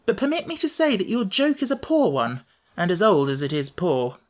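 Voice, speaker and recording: A man's voice: high-pitched, wildly swooping pitch, moderate pace, pitched above the performer's own voice. A man voicing a plain-spoken young boy who talks bluntly.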